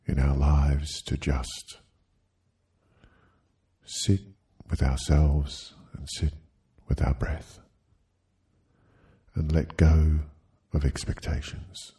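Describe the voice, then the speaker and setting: A man leading a guided sleep hypnosis, speaking soothingly and gently in short, halting phrases with long pauses. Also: rumbling low, flat delivery, slightly rough.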